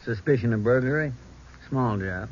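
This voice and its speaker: An American actor playing a laconic, workaday police officer in a 1950s radio drama. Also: male voice, mid-range voice, moderate pace, close to an everyday voice. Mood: matter-of-fact. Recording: muffled, noisy old radio recording.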